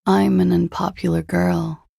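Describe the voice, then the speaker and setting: A woman playing a cool, evasive young former beauty queen in a video game, speaking in a languid, dry, sardonic way with a husky, breathy voice. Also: fairly low pitch, flat delivery, measured pace, mellow, no echo, heavily acted. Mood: guarded. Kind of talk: video game dialogue, low-key and naturalistic.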